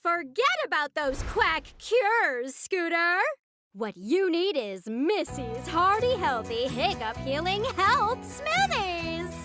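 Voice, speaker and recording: Showy high-pitched cartoon pitchwoman. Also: sing-song, slow, full character voice. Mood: salesy. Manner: grand announcer-like delivery. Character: showy, pushy female cartoon pet with a sales pitch. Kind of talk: children's cartoon voice acting. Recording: music behind the voice.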